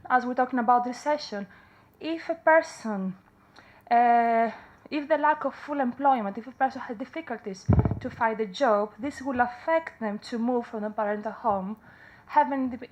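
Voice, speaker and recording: A woman's voice: fairly high pitch, normal speed, poor audio. A woman in her thirties from Greece with a Greek accent, presenting research at a conference, hesitant with many fillers and self-corrections. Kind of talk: academic conference talk. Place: conference room.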